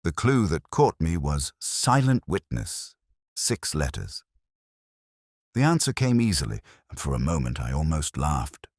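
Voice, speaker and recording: Brooding older detective , played by a man: very deep voice, wide melodic range, reflective first-person drama narration, close dry sound. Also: big pitch swings, vocal fry, a little mellow, breathy edge, no echo, exaggerated voice. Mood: uneasy, brooding. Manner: measured, literary, reflective.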